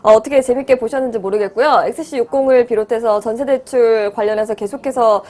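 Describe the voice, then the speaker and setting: A woman hosting a news talk show, brisk and steady, nudging the talk along with short acknowledgements. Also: a little high. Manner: brisk, with frequent short backchannels.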